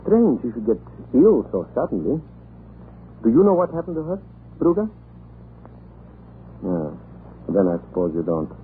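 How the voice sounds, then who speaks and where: An actor playing a talkative, irreverent Russian government official in a radio drama, chatty, excitable and theatrical. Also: male voice, mid-range voice, moderate pace, long pauses. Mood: jovial, chatty. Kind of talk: 1940s radio drama acting. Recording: muffled, noisy old radio recording.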